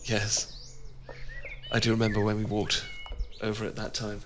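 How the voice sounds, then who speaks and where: Guy acting as devoted regency gentleman husband, british, really slow n soft romantic asmr, birdsong behind him. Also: lowish voice, monotone, natural voice. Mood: tender, teasing. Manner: soft, formal, affectionate, often dropping to a whisper. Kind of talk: romantic ASMR roleplay, period speech. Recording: noisy, birdsong and outdoor sounds behind.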